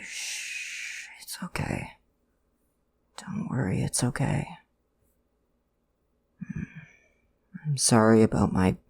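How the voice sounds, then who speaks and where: A performer playing a nervous, loving, jokey adoptive father in an ASMR roleplay, speaking in a halting, conversational way with a creaky voice. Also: medium pitch, a little mellow, full character voice. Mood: tender, reassuring. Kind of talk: ASMR audio roleplay, one-sided dialogue.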